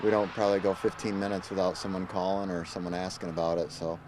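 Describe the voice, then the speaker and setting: A man's voice: sits low, flat delivery, fast, noisy background. A man giving casual remarks as a resident interviewed for the news.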